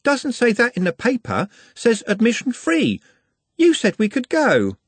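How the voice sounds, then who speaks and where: A man's voice: very high voice, wildly swooping pitch, measured pace, slightly rough, dry recording, pitched above the performer's own voice, exaggerated voice. A man doing a stubborn, insistent young woman. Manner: repeats herself, stubborn.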